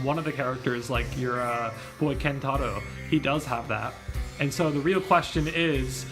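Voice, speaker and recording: A man doing casual livestream commentary as he reads a game, rambling and thinking aloud. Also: on the high side. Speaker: streamer reading a visual novel aloud. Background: faint game music.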